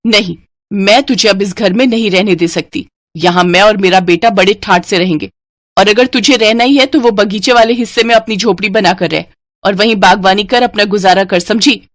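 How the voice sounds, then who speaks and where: A woman's voice: mid-range voice, moderate pace, no echo, clean studio sound, lightly acted. A woman voicing a greedy, cruel sister-in-law in a cartoon moral story, scolding and commanding. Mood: harsh, commanding.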